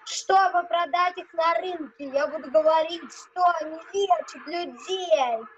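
A child acting a gruff, greedy, bullying puppet-theatre master in an amateur audio play. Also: very high-pitched, measured pace, a bit bright. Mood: scornful, greedy. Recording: dull, noisy home recording.

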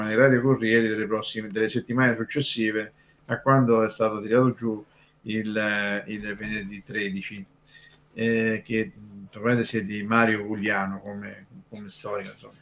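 Low, creaky-voiced man talking haltingly. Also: fairly bright tone, slight background noise. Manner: halting, digressive, keeps rephrasing. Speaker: radio show host. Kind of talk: casual talk about old radio history.

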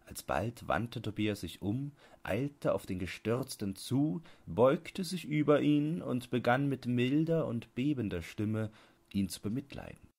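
A man reading literary fiction as an audiobook narrator, in a measured, deliberate bedtime-story manner. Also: mid-range voice, animated, vocal fry, a little mellow.

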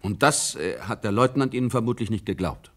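An actor playing a calm, authoritative middle-aged police commissioner in a radio crime play, terse and probing. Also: male voice, fairly low pitch, a little fast, natural voice. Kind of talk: German radio play acting.

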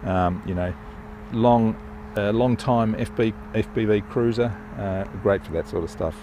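An older man flying a model plane in an open field outdoors, giving relaxed, enthusiastic commentary as he flies. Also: on the low side, unhurried, a little hiss or hum. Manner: relaxed, enthusiastic, thinking aloud while flying. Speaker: RC pilot reviewing a plane. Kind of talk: casual live flight commentary.

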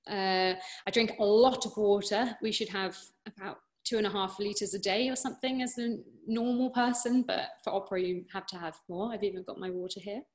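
British woman, 20s, warm casual Q&A chat, webcam sound from a living room. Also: medium pitch, steady tone, a little fast, hardly any pauses. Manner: chatty, encouraging, many fillers and restarts. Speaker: opera singer answering questions. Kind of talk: casual online Q&A. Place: living room at home, indoors. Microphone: laptop webcam on a video call.

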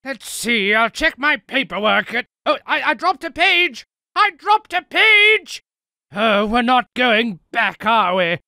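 A man's voice: extremely high pitch, big pitch swings, takes it slowly, creaky, sharp tone, dry recording, clean studio sound, exaggerated voice. A man voicing a fussy, indignant middle-aged civilian for improvised video game lines, prim, whiny and pleading. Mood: peevish.

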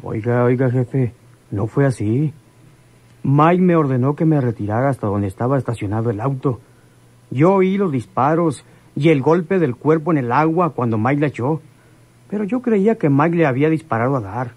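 An actor playing an eager but resentful, defensive henchman with a husky voice in a radio serial. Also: mid-range voice, animated, moderate pace, no room sound, highs cut off. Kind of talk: radionovela acting.

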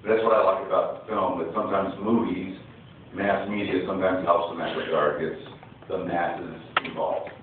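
Deep-voiced moderator in an echoey hall. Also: male voice, level tone, normal speed, noisy background. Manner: casual, conversational. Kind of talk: moderating a panel. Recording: echoey hall, far off, tinny.

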